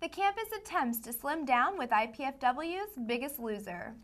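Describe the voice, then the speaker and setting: A woman's voice: high voice, animated, normal speed. A young woman anchoring a student news show, reading scripted stories aloud. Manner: bright, read-aloud anchor cadence.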